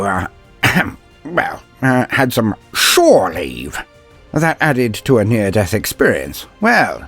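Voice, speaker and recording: A man playing a gruff, blunt older male inquisitor and worldly mentor in an audio story, hemming and teasing in a fatherly way. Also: medium pitch, fairly bright tone, full character voice. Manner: hesitant euphemisms, throat-clearing, teasing bluntness.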